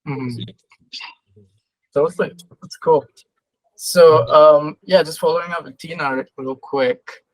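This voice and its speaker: Higher-pitched male interviewer, casual. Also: steady tone, takes it slowly, pauses often, dry recording, rough recording. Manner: loose, rephrases his questions.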